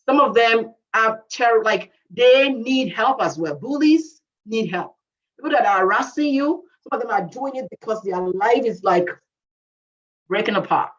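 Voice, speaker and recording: A woman with an accent speaks earnestly to young listeners and repeats key phrases for emphasis. Her voice sits a little high. The video-call audio is muffled and sounds far from the mic. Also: animated, unhurried. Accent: non-native English. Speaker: guest speaker. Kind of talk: advice talk to youth.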